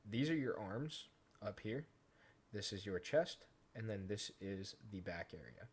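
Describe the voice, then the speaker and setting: A man hosting a software tutorial, explaining each step informally in a casual, conversational way. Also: fairly low pitch, expressive, measured pace, husky. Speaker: tutorial host. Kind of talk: casual screen-recorded software tutorial narration.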